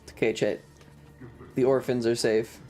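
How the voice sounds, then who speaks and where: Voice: medium pitch, level tone, moderate pace, dark tone, faint music behind. A streamer giving casual live commentary on a video game in an irreverent, sweary, rambling way.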